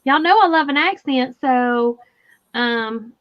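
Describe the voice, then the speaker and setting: Southern woman host, high-pitched, chatty and giggly, slightly dull lo-fi sound. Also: lively intonation, slow pace. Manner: chatty, laughing, reads viewer comments aloud. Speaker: crochet livestream host. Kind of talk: casual livestream chat.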